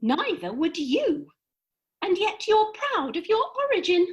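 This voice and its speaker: High, swooping, bossy fairy played by a woman. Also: big pitch swings, measured pace, exaggerated voice. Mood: lofty, lecturing. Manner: emphatic, precise, lecturing. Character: brisk, bossy female fairy, lofty and theatrical. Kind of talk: theatrical comic radio play acting.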